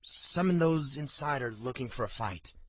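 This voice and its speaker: A man's voice: medium pitch, expressive, moderate pace, no echo, voice pushed up, natural voice. A man voicing a nervous young stable hand whose voice trembles with fear. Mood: hushed. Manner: trembling, fearful.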